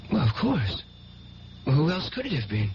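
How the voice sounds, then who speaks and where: An actor playing a devout, tormented young man in a 1970s radio drama, speaking in a halting, fervent, defensive way. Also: a little high, wildly swooping pitch. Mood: earnest, haunted. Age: young adult. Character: devout, tormented young man who believes he has visions. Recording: dull, noisy 1970s radio recording.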